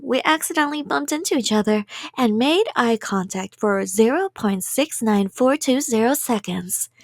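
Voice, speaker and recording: A woman plays a doting, delusional yandere in an ASMR roleplay. Her voice is rather high and very slow, recorded close and dry. She rambles sweetly and playfully, slipping toward whispers, cheerfully obsessed. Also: no room sound.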